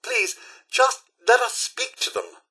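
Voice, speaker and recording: A man's voice: very high voice, slow pace, bright, forceful tone, husky, heavily acted. A man voicing a prim, earnest robot butler companion in a video game, with a British accent and a formal, deferential, exclamatory manner. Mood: pleading.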